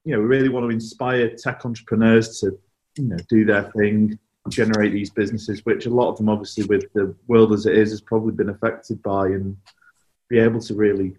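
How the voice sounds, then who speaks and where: A man's voice: on the low side, flat delivery, brisk, darkish tone, rough recording. A man hosting a podcast, asking his guests questions in a friendly, prompting way. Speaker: podcast host, interviewer. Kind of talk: casual podcast interview.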